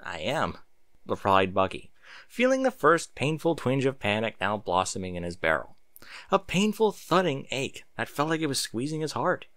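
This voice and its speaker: A man reading a story aloud as narrator, in an expressive style with candid asides. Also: mid-range voice, moderate pace, no room sound, studio quality. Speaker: fan fiction narrator.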